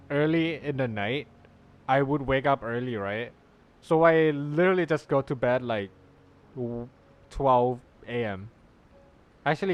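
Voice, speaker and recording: A man's voice: medium pitch, clear, resonant tone. Joking, slangy male game streamer. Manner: joking, slangy, mild swearing. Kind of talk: casual livestream commentary, reacting and chatting. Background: soft background music.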